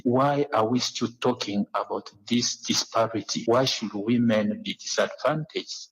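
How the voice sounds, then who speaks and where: A man's voice: mid-range voice, husky, rough recording. A man with a Zambian accent gives an interview statement as a civil society spokesman, earnest and fond of rhetorical questions.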